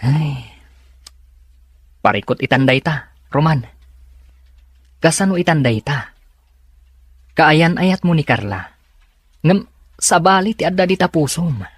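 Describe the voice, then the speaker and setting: A man's voice: a little high, expressive, slow, long pauses, breathy edge, no echo, heavily acted. A man playing a young best friend in a radio drama, earnest and frank as he gives advice. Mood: cautioning.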